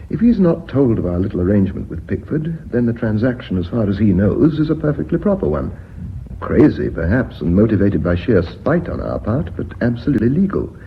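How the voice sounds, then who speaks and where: Shrewd British company man, muffled recording. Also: medium pitch. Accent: British English. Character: shrewd, self-assured company man scheming against a traitor. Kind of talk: British radio drama acting. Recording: muffled, noisy old radio recording.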